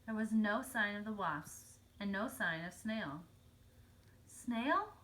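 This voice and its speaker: A woman reading a children's story aloud as narrator, telling it clearly for young children. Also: medium pitch, measured pace, a bit bright. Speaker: storybook reader.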